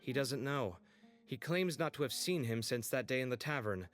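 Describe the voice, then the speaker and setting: A man voiced by an actor briefing his friends, brisk and matter-of-fact, mid-pitched. Faint music plays in the game cutscene behind him. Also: expressive, natural voice.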